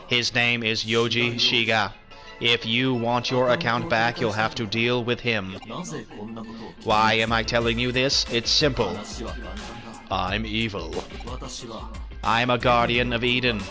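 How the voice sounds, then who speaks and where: A man's voice: mid-range voice, flat delivery, unhurried, long pauses, music in the background, natural voice. A man doing a cold shadowy hacker.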